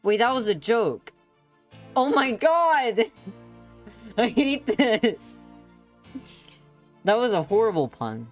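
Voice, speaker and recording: A woman's voice: a little high, big pitch swings, takes it slowly, long pauses. A woman reading a story aloud on a stream with dramatic, playful expression. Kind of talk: expressive live story narration. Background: background music.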